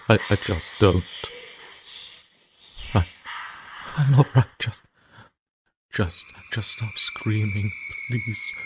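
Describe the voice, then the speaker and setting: A man's voice: rumbling low, noisy background. A man playing an exhausted, guilt-ridden young man who is falling apart, reading his journal in a trembling, stammering, pleading voice. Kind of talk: horror story narration, journal reading.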